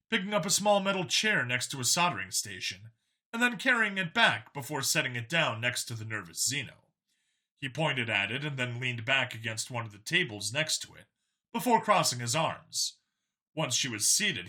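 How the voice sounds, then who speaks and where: A woman's voice: extremely low pitch, sing-song, normal speed, sharp tone. A woman reading a scripted science fiction story aloud as an audiobook narrator.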